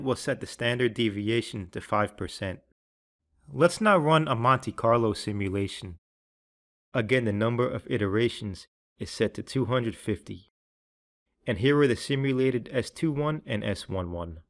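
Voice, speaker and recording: A man with a mid-pitched voice narrates a software tutorial from a script. His delivery is measured and instructional, and he enunciates technical phrases with care.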